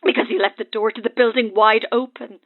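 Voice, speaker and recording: A woman's voice: on the high side, fairly even intonation, fairly quick. A woman playing a terrified radio phone-in caller in a horror audio drama, telling things shakily in broken phrases through a telephone filter. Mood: terrified, defensive. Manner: shaken, breathless storytelling in broken phrases. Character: terrified woman calling a late-night radio show. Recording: thin, narrow phone line.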